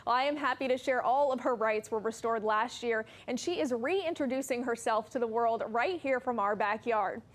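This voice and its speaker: A woman's voice: on the high side, normal speed, few pauses. A woman with an American accent reading scripted narration as a television news feature reporter.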